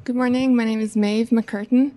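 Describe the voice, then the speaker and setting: Flat-voiced young Irish woman. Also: fairly high pitch, normal speed, background noise. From Ireland. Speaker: panelist, perfumer trainee.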